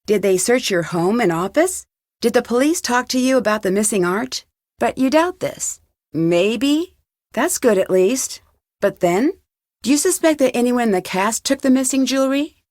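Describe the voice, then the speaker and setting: A woman's voice: fairly high pitch, lively intonation, normal speed, a little mellow, no echo, clean studio sound, close to an everyday voice. An actress playing an inquisitive, polite young woman detective in a video game, speaking in an earnest, questioning way. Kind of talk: video game dialogue acting.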